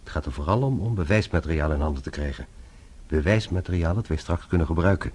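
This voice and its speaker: A courteous investigator with a low, rough-textured voice. He speaks formally and deliberately, in measured radio drama style. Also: a little mellow. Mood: measured, appreciative. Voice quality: husky.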